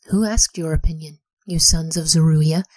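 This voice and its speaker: A woman's voice: deep voice, steady tone, moderate pace, no room sound. An old king, as a woman whispers him. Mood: solemn. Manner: soft whisper. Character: ancient king, older man, commanding yet merciful.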